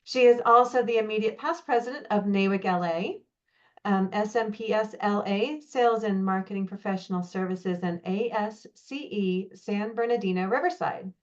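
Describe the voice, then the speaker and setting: Woman reading a formal intro, dull audio. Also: medium pitch. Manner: measured, scripted bio reading. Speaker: webinar moderator.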